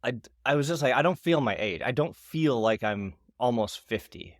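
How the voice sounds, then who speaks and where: A man in his forties telling a story in casual podcast chat, animated and laughing often. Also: mid-range voice, moderate pace, no echo, clean studio sound. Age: 40s. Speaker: podcast co-host.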